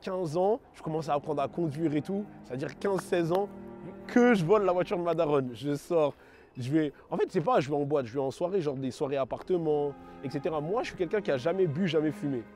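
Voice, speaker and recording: Jeune homme à l'de banlieue parisienne, timbre sombre, parle en argot, hésitant et décousu. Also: male voice, fairly high pitch, brisk, a little mellow, soft background music. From France, raised in London. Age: 20s. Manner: slangy, rambling, many fillers and self-corrections. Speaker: testimony giver. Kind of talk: casual spoken testimony.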